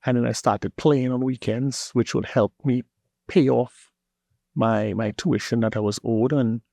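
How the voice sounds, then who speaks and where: A man from Trinidad and Tobago with a Trinidadian accent, a guest telling his story in a relaxed interview and often repeating words as he searches for them. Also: medium pitch, measured pace, gravelly, no room sound, studio quality. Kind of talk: casual interview talk.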